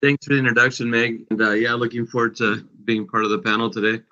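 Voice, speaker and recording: A man's voice: medium pitch, flat delivery, quick pace, sharp tone. A man in his forties moderating a real estate panel, introducing speakers with frequent "uh" fillers. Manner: hesitant, many "uh" fillers. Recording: dull lo-fi sound.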